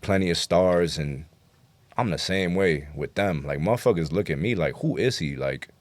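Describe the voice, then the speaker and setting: A man's voice: sits low, moderate pace, no room sound. A man talking casually as a rapper guest in an interview, slangy, profane and self-assured. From New York City, United States.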